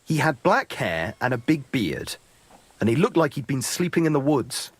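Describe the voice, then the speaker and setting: Husky, animated medieval horse trader. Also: male voice, medium pitch, moderate pace. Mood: smug, dismissive. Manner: blunt, sardonic, unyielding. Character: gruff, hard-bargaining medieval horse trader, man. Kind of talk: video game dialogue acting.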